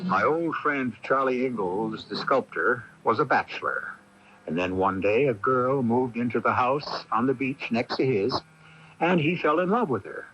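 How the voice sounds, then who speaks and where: A steady, reassuring friend and storyteller, played by a man in a radio play. His voice sits at a medium pitch, on a dull AM tape with faint hiss. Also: normal speed, natural voice. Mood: grave storytelling. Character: steady newspaper publisher friend, narrating and calming. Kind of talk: radio drama storytelling and dialogue.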